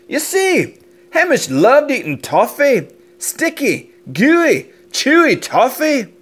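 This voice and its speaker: Animated Scottish man reading a storybook. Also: extremely high pitch. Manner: energetic, expressive. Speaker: storybook narrator. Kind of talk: animated children's read-aloud.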